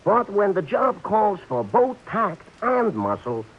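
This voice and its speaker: An actor playing a small-time racetrack bookie who talks in florid, overly formal phrases mixed with track slang, in a 1940s radio play. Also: male voice, high voice, sing-song, takes it slowly, breathy edge, heavily acted. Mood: pompous. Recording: muffled vintage radio broadcast.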